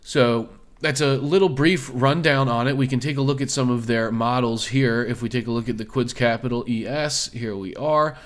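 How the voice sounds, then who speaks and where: A man hosting an investing video, his voice a little hoarse from a cold. He talks in a loose, easygoing way, leaning on fillers as he walks through the details. His pitch sits in an ordinary middle range for a man. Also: moderate pace, hardly any pauses. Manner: loose, filler-heavy, conversational. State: slightly hoarse from illness. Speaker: stock video host. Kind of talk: casual screen-share commentary.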